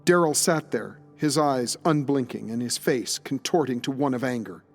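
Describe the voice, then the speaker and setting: A man's voice: mid-range voice, normal speed, faint music behind. A man narrating a scripted dramatic story as its narrator.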